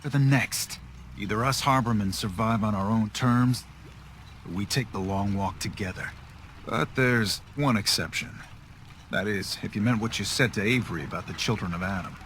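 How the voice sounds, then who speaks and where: A man's voice: on the low side, moderate pace, a bit bright, slight background noise, natural voice. A man acting a blunt, hostile and bitter islander who sells guns in a video game. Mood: scornful, gruff. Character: hot-headed, bitter islander gun seller, a grown man.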